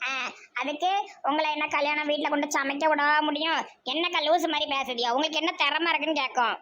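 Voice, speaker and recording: A woman voicing a bossy, sharp-tongued village mother in an amateur cartoon comedy, chattering mockingly with a southern Tamil Nadu accent. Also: high-pitched, steady tone, fast, dull audio, a little hiss or hum, voice pushed down.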